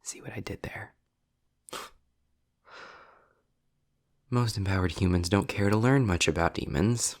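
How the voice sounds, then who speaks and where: A man playing a sarcastic, worldly demon in an intimate audio roleplay, speaking in a low, gravelly, breathy voice with dry, deliberate pauses, often slipping toward a whisper. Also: close to an everyday voice. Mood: wry, reluctant. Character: sarcastic, worldly male demon, trapped, grudgingly explaining.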